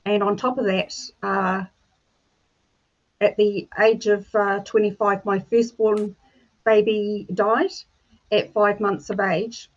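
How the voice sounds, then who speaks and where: A woman from New Zealand, a life coach, telling her personal story in a measured way over a video call. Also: medium pitch, flat delivery, highs cut off.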